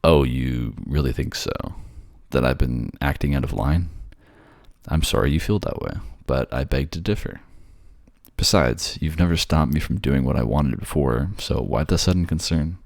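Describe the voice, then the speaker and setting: A man's voice: extremely low pitch, normal speed, creaky, darkish tone, no room sound, studio quality. A man playing a bored, sardonic ghost who haunts a library, snarky and conversational in an ASMR audio roleplay. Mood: defensive, teasing. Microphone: close, dry microphone.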